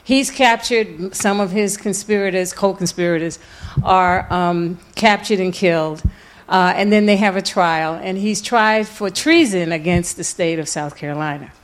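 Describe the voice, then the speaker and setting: A woman gives an informal lecture in a slightly low voice. She tells it like a story, stretching key words for emphasis. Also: moderate pace. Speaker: lecturer and author. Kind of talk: informal lecture with audio clips.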